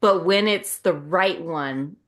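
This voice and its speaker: A woman's voice: lowish voice, takes it slowly, fairly bright tone. A woman co-hosting a podcast, chatting casually in a rambling, conversational way. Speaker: podcast co-host. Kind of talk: casual chat.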